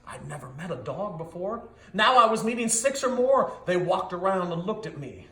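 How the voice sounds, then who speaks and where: High-pitched man, animated storybook reader, expressive, rhythmic, dramatic pauses, echoey room. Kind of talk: animated children's read-aloud. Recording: echoey, roomy sound.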